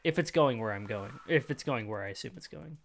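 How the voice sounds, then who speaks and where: Young adult male, medium pitch, laid-back slangy reaction host, close desk mic. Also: lively intonation, brisk, creaky, slight background noise. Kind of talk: casual off-the-cuff reaction commentary. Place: small room indoors at home. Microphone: close desk microphone, headset worn.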